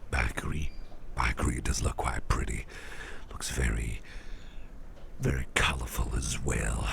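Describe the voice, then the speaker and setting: Guy doing a soft whispery businessman boss asmr, super gravelly, slow and gentle, bit of background hiss. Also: exaggerated voice. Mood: pleased, admiring. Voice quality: very low, gravelly, breathy near-whisper. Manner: unhurried, hesitant, repeats phrases softly. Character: doting, genteel businessman boss, smooth-talking antique hunter. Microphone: close microphone.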